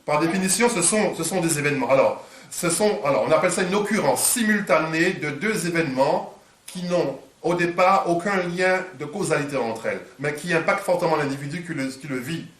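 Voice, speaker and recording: A man interviewing, halting with many fillers and restarts, a little stuffed up from a cold. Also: a little high, fairly bright tone. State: congested from a cold. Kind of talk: hesitant conversational interview. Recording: echoey room, distant mic.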